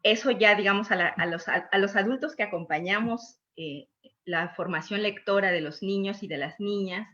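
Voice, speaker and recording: A woman talks in a panel discussion with a mid-pitched voice, thoughtful and searching for her words as she goes. She often closes a thought with a small tag question. The sound is muffled and lo-fi, like a call. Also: normal speed, bright, forceful tone. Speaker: panelist who promotes reading with young children.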